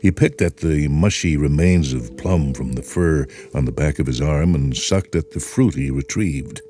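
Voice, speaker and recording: A man hosting and narrating a short story in an expressive, unhurried storytelling way. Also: extremely low pitch. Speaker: host and narrator. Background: faint music.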